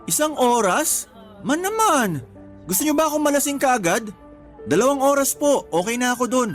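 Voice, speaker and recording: A man's voice: very high-pitched, big pitch swings, normal speed, faint music behind. A young man playing a cajoling, carefree son in a radio drama, playful and polite as he wheedles. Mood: playful, coaxing. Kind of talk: Tagalog radio drama acting.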